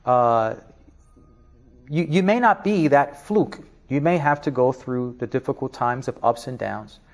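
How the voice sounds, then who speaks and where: A middle-aged man from Spanish Harlem with a New York accent, giving an animated interview, laughing often and restarting his sentences. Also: medium pitch, a little slow. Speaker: interview guest, business leader. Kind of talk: casual interview talk.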